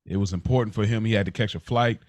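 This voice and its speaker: Casual low-pitched man, very dry recording. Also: quick pace, dry recording. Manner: informal, slangy. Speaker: podcast co-host. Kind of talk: casual conversational podcast chat.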